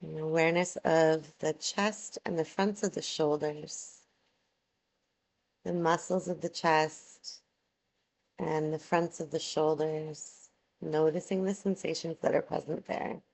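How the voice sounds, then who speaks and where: A woman guiding a yoga relaxation meditation, giving soothing, measured instructions with long pauses between phrases. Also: low voice, steady tone, vocal fry, a little mellow.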